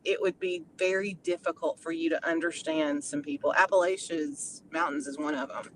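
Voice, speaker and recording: A middle-aged woman with a Tennessee accent, chatting in an animated, storytelling way as a guest. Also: low voice, moderate pace, slight background noise, low-quality recording. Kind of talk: casual chat.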